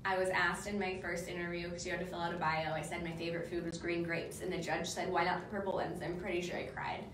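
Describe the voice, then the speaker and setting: A woman's voice: lowish voice, fast, noisy background. Chatty woman in an echoey room. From Nebraska, US. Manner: chatty, storytelling, animated. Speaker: pageant titleholder. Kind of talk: casual chat. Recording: echoey room, mic at a distance.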